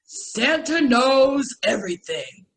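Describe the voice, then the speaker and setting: A woman playing a jolly, crafty old department-store Santa in a radio comedy, speaking in a jovial, drawn-out way. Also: sing-song, a bit bright, heavily acted. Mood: indulgent, amused. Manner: slow, booming, jovial drawn-out delivery.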